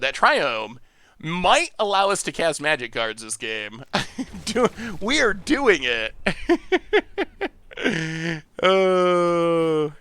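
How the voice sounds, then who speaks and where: A man from upstate New York hosts a live card game stream, chatty and excitable, laughing as he talks. His voice sits high and bright and swings a lot in pitch. The sound is close and dry. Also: vocal fry, clean tone, no echo. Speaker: streamer host. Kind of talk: live gameplay commentary, chatting with viewers.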